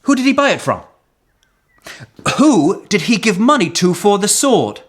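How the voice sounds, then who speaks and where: Weary, wry captive knight, slow delivery. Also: male voice, high-pitched, very animated, exaggerated voice. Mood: earnest, wry. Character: captured European knight, a weary, wry chess-playing mentor. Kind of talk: radio historical drama acting.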